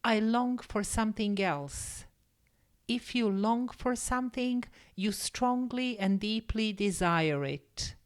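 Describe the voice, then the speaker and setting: A woman English teacher reading a scripted story and vocabulary lesson in a measured, careful, explanatory manner. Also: on the high side, clear, resonant tone.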